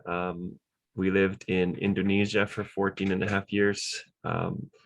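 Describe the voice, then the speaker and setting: Very deep, flat, gravelly-voiced man. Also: low-quality recording. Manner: halting, with many fillers. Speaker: panelist, translation consultant. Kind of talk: self-introduction on a panel. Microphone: video call.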